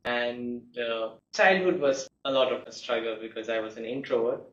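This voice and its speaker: A young man from India, a guest telling his personal story in a measured, reflective way. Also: mid-range voice, lively intonation. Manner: measured, reflective, with soft laughs. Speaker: guest, law student. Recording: echoey, distant, muffled.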